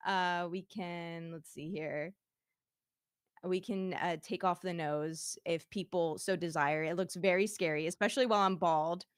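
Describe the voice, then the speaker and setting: A woman's voice: fairly low pitch, fairly even intonation, unhurried, clear, resonant tone, no echo, studio quality. A woman VTuber chatting casually and demoing her streaming setup, giggling often. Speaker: VTuber guest. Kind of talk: casual livestream demo chat. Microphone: streaming microphone.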